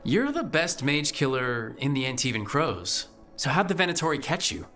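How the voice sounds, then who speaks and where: A man's voice: mid-range voice, very animated, normal speed, bright, forceful tone, soft background music. An actor playing a direct, wry and concerned male party leader in a video game, asking things straightforwardly. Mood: probing, casual. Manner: probing, straightforward, lightly wry. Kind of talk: video game dialogue acting.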